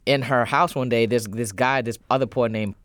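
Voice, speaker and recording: Smooth-voiced man, halting and chatty. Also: medium pitch, no echo, clean studio sound. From United States. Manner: hesitant, full of ums, lively when storytelling. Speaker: musician guest. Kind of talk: casual radio interview. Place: radio studio.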